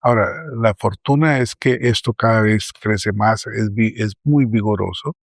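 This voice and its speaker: A middle-aged man gives measured, earnest remarks as a panelist. His voice sits low with a gravelly edge. The recording is close and dry, with no room sound. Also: no room sound. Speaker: panelist, academic. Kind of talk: round table remarks.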